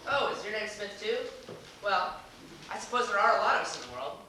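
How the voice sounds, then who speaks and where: A man playing a polite, anxious gentleman in an amateur stage comedy, hesitant and halting, with formal old-fashioned phrasing. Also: high voice, animated, heavily acted. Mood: nervous, apologetic. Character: flustered, polite, anxious gentleman waiting for a masked date. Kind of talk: amateur stage acting in a comic one-act play. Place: small theater stage, recorded from the audience. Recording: echoey theater, distant camera mic, hissy noise.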